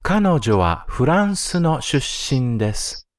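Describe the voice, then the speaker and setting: A man's voice: medium pitch, sing-song, normal speed, soft background music. A man reading example sentences for a language course with careful, precise enunciation. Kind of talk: slow, clearly read example sentences.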